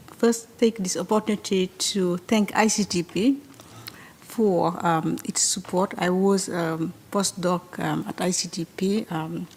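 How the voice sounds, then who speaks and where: A woman from Senegal, a mathematician on a conference panel, speaking in a slow, measured way with many pauses. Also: fairly low pitch, a little mellow. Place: large conference hall. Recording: reverberant hall with faint ambience.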